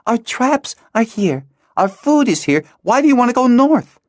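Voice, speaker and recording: A man reading an audiobook, voicing a distraught young girl who pleads and shouts in a high, slow, shrill voice. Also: sing-song, no echo, studio quality. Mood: exasperated.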